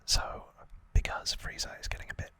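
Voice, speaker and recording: A man's voice: moderate pace, pushed and bright, no room sound. A man whispering as an ASMR host, giving an informal, rambling trivia recap and correcting himself as he goes.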